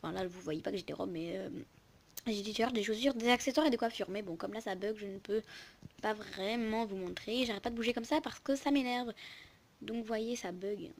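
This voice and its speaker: A woman's voice: medium pitch, a little fast. A young woman casually commentating a game lookbook as host, rambling and interrupting herself, on a poor recording with noise and clicks. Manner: rambling, informal, self-interrupting. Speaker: video host.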